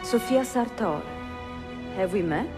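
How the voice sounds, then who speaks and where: An actress playing a cultured, curious young bookseller in a game cutscene, speaking expressively with an Italian accent. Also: female voice, medium pitch, expressive, measured pace, natural voice. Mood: welcoming. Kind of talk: dramatic video game cutscene acting. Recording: clear music behind the voice.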